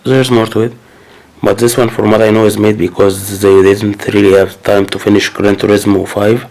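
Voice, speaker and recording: A man's voice: lowish voice, flat delivery, normal speed, a little mellow, low-quality recording. A man with a cold, talking casually and hesitantly as he goes through his new game collection. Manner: hesitant, many fillers and restarts. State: sick with a cold. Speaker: gaming channel host. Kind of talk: casual unboxing commentary.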